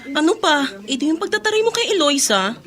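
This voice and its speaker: A woman playing a gently teasing, reasonable teenage school friend in a Tagalog radio drama. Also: high-pitched, big pitch swings, fairly quick.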